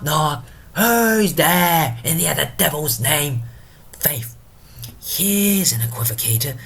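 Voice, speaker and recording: A drunken castle porter, acted out by a man, rambling and mocking in Shakespearean stage style. The husky voice swings dramatically between exclaimed calls and muttering, like a comic gatekeeper of hell. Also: high-pitched, fairly bright tone, voice pushed up, exaggerated voice. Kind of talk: theatrical Shakespearean soliloquy.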